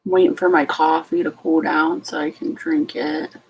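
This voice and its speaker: Sleepy, gravelly-voiced woman. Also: low-pitched, level tone, darkish tone, poor audio. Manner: greets each viewer by name, slow and unhurried. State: sleepy, just out of bed. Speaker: homestead channel host. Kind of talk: late-night casual live stream chat with viewers.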